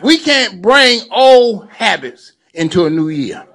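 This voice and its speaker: A man's voice: high-pitched, very animated, slow pace, fairly bright tone. Seventy-year-old man preaching to a crowd. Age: 70s. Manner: preacherly, repeating phrases for emphasis. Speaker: lawyer and community activist. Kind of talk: speech to a gathering.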